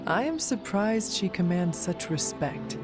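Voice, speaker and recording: An actress playing an earnest, formal resurrected demon hunter in a video game, speaking in a measured, probing way. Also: female voice, lowish voice, big pitch swings. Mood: curious. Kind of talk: video game cutscene dialogue. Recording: music under the dialogue. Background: music.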